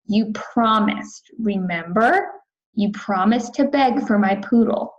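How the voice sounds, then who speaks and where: A woman's voice: medium pitch, takes it slowly, highs cut off, natural voice. A woman voicing a spoiled, bossy rich little girl, whiny and insistent, in a children's storybook read-aloud. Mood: wheedling. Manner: whiny, insistent, often whispering.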